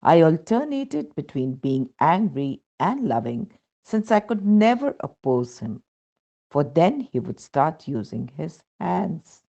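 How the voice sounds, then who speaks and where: A woman with an Indian accent narrates a devotional testimonial in a low, mellow voice. She takes her time, reading in a measured storytelling way with expressive rises and falls. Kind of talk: scripted devotional narration, read aloud. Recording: dull, muffled lo-fi sound.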